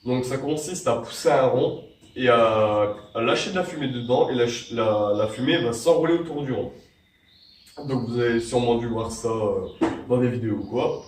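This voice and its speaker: A young man with a cold talks through a casual how-to tutorial, rambling and restarting as he goes. His voice sits at an ordinary pitch for a man. It sounds roomy and echoey, picked up from some distance away from the mic. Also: normal speed, slight background noise. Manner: rambling, many fillers and restarts. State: has a cold. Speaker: vape tricks tutorial host.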